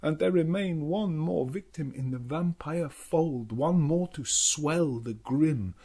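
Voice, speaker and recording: A man voicing an elderly professor and vampire hunter in an audiobook, solemn and anguished, with slow, halting foreign phrasing. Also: fairly high pitch, dark tone, breathy edge, pitched above the performer's own voice, full character voice. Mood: brooding, haunted. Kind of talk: audiobook character narration.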